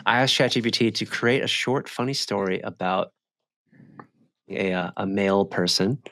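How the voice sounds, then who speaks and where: A man with a fairly low voice talks as a podcast co-host. He banters casually and drops playful, mock-formal quips, recorded close and dry. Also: normal speed, fairly bright tone, no echo.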